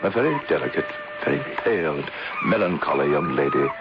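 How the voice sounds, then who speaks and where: An actor playing a courteous, concerned older English gentleman in a radio mystery drama, speaking in a measured, formal manner. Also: extremely low pitch, sing-song, over loud music. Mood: measured storytelling. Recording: dull lo-fi radio broadcast, faint noise.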